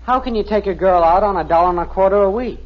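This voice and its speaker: Lovestruck teen boy, highish voice. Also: fast talker. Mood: pleading. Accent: American. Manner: gushing, naive earnestness. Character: lovestruck, earnest fifteen-year-old boy, the son. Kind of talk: 1950s radio sitcom acting. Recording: muffled old radio broadcast, faint hiss.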